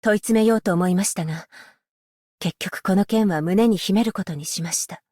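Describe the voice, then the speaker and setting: A voice actress playing a stern, dutiful female magistrate-scholar, speaking in a formal, measured and politely stern way for a game's voice lines. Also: medium pitch, mellow, no room sound, clean studio sound, lightly acted. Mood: wry. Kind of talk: game character voice lines. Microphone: close studio mic, dry.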